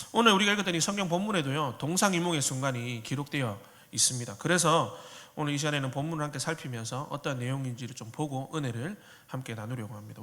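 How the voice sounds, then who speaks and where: A man in his thirties, a pastor preaching a sermon in a relaxed, joking, conversational way, with a slight Korean regional dialect. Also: on the high side. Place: church sanctuary. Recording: long hall reverb.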